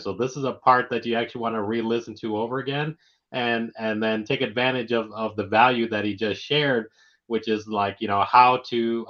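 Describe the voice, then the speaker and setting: A man hosting a casual interview, speaking informally and haltingly, often repeating his words. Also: fairly low pitch, a bit bright. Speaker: interviewer, show host. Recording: dull lo-fi online audio.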